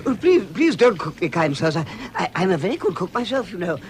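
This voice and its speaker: An actor playing a timid, polite hobbit who stammers anxiously, in a radio drama. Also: male voice, sits high, big pitch swings, fairly quick, background noise. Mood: pleading, frightened.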